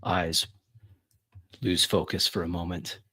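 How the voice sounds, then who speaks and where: A man narrating dramatic first-person tabletop roleplay in deliberate, broken phrases with long pauses. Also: deep voice, level tone, pushed and bright.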